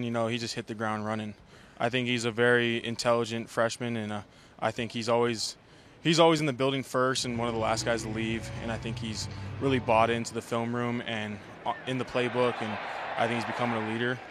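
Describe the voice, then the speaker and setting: Quick-talking young man, easygoing. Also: mid-range voice, flat delivery, background noise. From Hawaii. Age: young adult. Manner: modest, easygoing, fills with "you know". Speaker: college football player. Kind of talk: casual interview answers.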